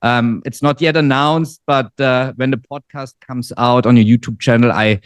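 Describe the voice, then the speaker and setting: A man in his forties from Germany with a German accent, talking in a relaxed interview with many fillers and restarts. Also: medium pitch, normal speed, clean tone, dry recording. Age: 40s. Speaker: guest trader. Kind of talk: casual interview. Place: living in Dubai.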